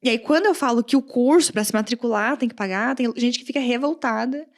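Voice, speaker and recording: Mulher brasileira animada, voz um pouco aguda. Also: female voice, fairly high pitch, brisk. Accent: Brazilian Portuguese, countryside. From Brazil. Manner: animated, laughs often, acts out quoted comments. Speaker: guest, English teacher. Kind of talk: casual podcast conversation.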